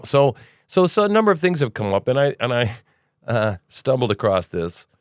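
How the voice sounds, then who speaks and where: A man's voice: mid-range voice, big pitch swings, moderate pace, clear, resonant tone, no echo, studio quality. A man hosting a casual news commentary podcast, rambling and opinionated, with sarcastic asides. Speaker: podcast host.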